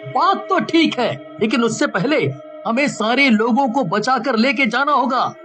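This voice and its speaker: A man voicing a worried, earnest young village man in an animated cartoon story. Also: very high-pitched, a little slow, faint music behind, heavily acted. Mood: determined.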